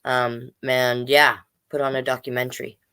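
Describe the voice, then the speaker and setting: Storytime host: woman, low voice, informal and rambling, mixing picture-book reading with loose chat. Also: flat delivery, moderate pace, bright, forceful tone, clear, resonant tone. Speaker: drag king storytime host and reader.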